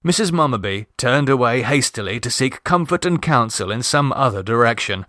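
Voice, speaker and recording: Expressive male storyteller, dry close sound. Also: mid-range voice, moderate pace, no room sound. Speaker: audiobook narrator. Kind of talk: scripted literary narration of a comic short story.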